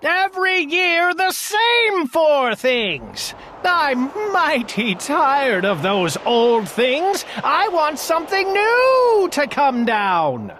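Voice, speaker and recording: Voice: high voice, sing-song, slow pace, fairly bright tone, a little hiss or hum, voice pushed up, heavily acted. A storyteller voicing an old, short-tempered male king who bellows, huffs and sputters. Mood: bellowing.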